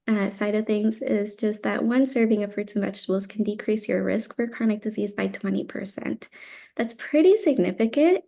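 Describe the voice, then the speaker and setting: A woman's voice: medium pitch, vocal fry, a little mellow. A woman dietitian who grew up in Texas, explaining her work in an enthusiastic, casual interview manner with frequent fillers. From Texas, born in Colombia. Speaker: dietitian, podcast guest.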